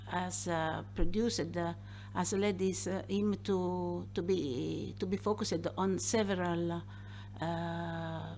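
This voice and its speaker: Lady hosting a seminar intro, super slow and hesitant, kinda low voice, little hum in the room. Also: a little mellow. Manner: halting, with many filled pauses. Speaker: host introducing the speaker.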